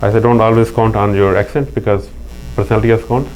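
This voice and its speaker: A man's voice: on the low side, fairly even intonation, fast, darkish tone, soft background music, poor audio. A middle-aged man from Pakistan with a Pakistani accent, telling stories in an earnest interview chat. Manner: earnest, anecdotal, with frequent restarts. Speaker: interview guest. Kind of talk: casual interview talk. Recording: faint mains hum.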